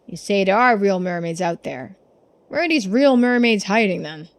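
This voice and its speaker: A woman voicing a gruff, greedy older collector obsessed with immortality, talking bluntly and dismissively in video game dialogue. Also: medium pitch, animated, moderate pace, dry recording, lightly acted. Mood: demanding. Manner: dismissive, blunt, folksy speech.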